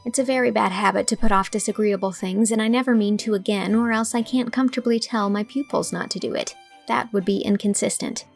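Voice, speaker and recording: A woman voicing an earnest, impulsive young schoolteacher in audiobook dialogue, eager and high-minded. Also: a little fast, gravelly, soft background music. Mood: virtuous, eager.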